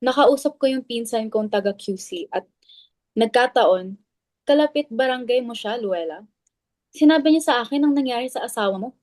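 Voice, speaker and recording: A woman's voice: on the high side, animated, normal speed, a little mellow, lightly acted. A woman acting a blunt, suspicious, confrontational club member in a dramatic reading, insistent and accusing. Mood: accusing, firm.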